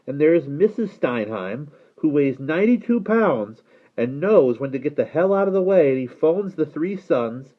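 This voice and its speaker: A man's voice: a little high, phone-quality sound, voice pushed up. A man voicing an indignant, bitter young man who rants emphatically and sardonically about his boss.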